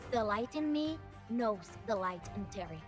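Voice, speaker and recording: A woman's voice: medium pitch, expressive, measured pace, close to an everyday voice. A woman voicing a naive, jovial, childlike shapeshifter from a video game, playful, in simple broken phrases. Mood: wistful wonder. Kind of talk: video game character voice lines. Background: music.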